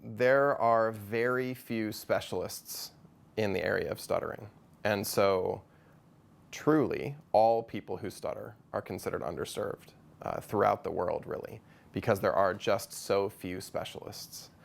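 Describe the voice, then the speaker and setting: A man speaking as a stuttering specialist in a measured promotional interview, calm and explanatory. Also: on the low side, vocal fry.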